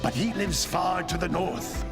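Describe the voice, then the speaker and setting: A cranky old wizardly mentor, creaky and pitched high. He scolds pompously with big swings of intonation in a cartoonish game style, over loud music. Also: animated, measured pace, exaggerated voice. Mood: grave, explaining. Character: grumpy old male sage, scolding mentor. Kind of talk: cartoonish video game voice acting.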